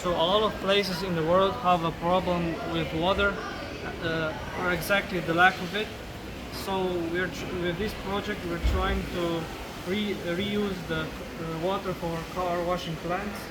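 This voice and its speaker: Slovenian man, hesitant presenter, flat and fairly high, loud noise behind. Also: unhurried. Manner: hesitant, restarts often. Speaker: student team member presenting a project. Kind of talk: casual project presentation.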